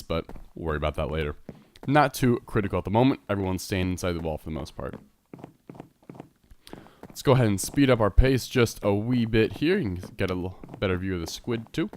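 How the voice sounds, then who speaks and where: A congested man gives a loose, rambling commentary over his game footage, often backtracking to correct himself. His voice sits low but swings widely in pitch. A faint hiss runs under the recording. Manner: rambling, self-correcting, chatty. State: congested, stuffed-up nose. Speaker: Minecraft server let's player. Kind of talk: casual gameplay commentary.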